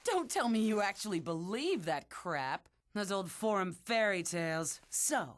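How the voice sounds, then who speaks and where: A woman's voice: medium pitch, very animated, a little slow. An actress playing a cocky, sneering female player-killer in an anime game dub, boastful and mocking in a theatrical way. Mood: sneering, incredulous.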